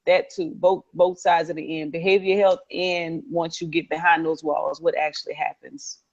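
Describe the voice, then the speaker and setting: A woman's voice: low voice, little pitch movement, moderate pace. A woman co-hosting a casual talk show, talking loosely with many fillers and restarts. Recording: muffled lo-fi line.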